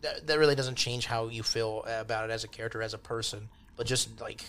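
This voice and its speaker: A man's voice: medium pitch, level tone, brisk, fairly bright tone. A man narrating casually as a game master, explaining things in an accommodating way over background noise. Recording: constant clear background noise.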